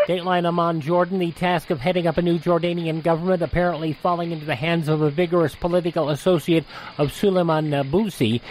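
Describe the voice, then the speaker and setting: A man reading news bulletins in a crisp announcer style. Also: a little high, darkish tone, slight background noise, rough recording. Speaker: newsreader.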